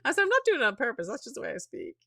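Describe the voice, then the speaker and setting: A woman from Ireland with a softened Irish accent, a guest telling lively stories in a casual interview. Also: on the high side, racing, gravelly, no room sound. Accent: Irish, softened by years in America. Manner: lively storyteller, chatty, laughs often. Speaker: author and guest.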